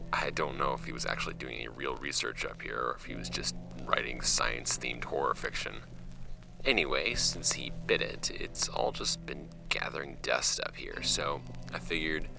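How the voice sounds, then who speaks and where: An actor playing a wry, offhand young man narrating his homemade podcast about his late uncle's files in an audio drama, with a gravelly voice. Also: heavily acted. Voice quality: very deep, gravelly. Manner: wry, offhand, halting when ad-libbing, measured when reading.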